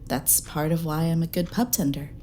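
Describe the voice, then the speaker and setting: A woman's voice: sits low, moderate pace, dark tone, a little hiss or hum, lightly acted. A woman voicing an alien pub tender on a starship who explains herself earnestly.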